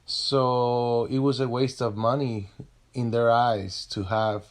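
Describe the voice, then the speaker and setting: A man in his thirties from Puerto Rico, a podcast guest, soft-spoken and halting. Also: medium pitch, steady tone. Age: 30s. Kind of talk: casual interview.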